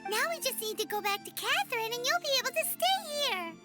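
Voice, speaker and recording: A woman voicing a tiny, excitable, childlike fairy companion with a squeaky voice in an animated fantasy game. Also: a little fast, loud music behind the voice. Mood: amazed.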